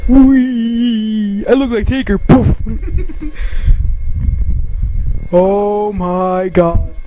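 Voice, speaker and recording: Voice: mid-range voice, very slow, music in the background, rough recording. A performer voicing silly, crude, gibbering zombies in an amateur comedy fandub, with childish whoops and nonsense exclamations. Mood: silly, dazed. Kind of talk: amateur comedic fandub with improvised lines and spoken sound effects.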